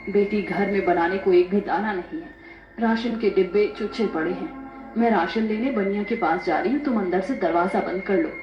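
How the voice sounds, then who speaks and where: A woman's voice: mid-range voice, moderate pace, lightly acted. Woman playing anxious village mother, echoey. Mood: worried, protective. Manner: earnest, pleading. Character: anxious, protective poor village widowed mother. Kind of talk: melodramatic story dialogue. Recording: echoey, dull, music behind.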